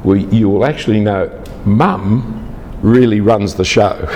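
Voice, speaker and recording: A man's voice: on the low side, takes it slowly, a little mellow, big-room sound, a little hiss or hum. A man from Australia, giving a lecture to a large group, chatty and teasing the audience.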